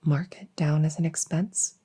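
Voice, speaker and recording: A woman playing a weary, dutiful young princess in an intimate audio roleplay, speaking in a halting, conversational way with a soft, husky voice. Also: low-pitched, fairly even intonation. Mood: hesitant, wry. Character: young human princess, weary, dutiful, wistfully longing for freedom.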